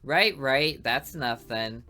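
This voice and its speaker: A woman's voice: extremely low pitch, lively intonation, takes it slowly, sharp tone, soft background music, heavily acted. A woman voicing a strict, impatient female history teacher in a game, speaking in a stern, clipped way.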